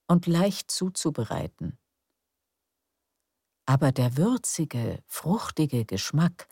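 A woman narrating a calm bedtime story in a slow, soft, soothing way. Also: very deep voice, husky, dry recording, studio quality.

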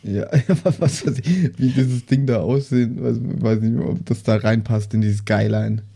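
A man's voice: medium pitch, unhurried, vocal fry, dark tone, breathy edge. A man playing a tabletop role-playing game, chatting casually with the group.

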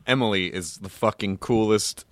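Rambling, halting male interviewer. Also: on the low side, a bit bright, dry recording. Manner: rambling, halting questions with many restarts. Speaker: interviewer and host. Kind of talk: casual interview.

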